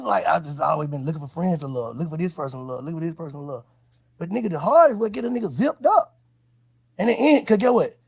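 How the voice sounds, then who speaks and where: Casting: man, podcast interviewer, rapid and very animated delivery, emphatic street slang. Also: sits high, vocal fry, husky. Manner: emphatic, slangy, repeats points. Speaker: podcast host interviewing a guest. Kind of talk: heartfelt advice in casual street talk.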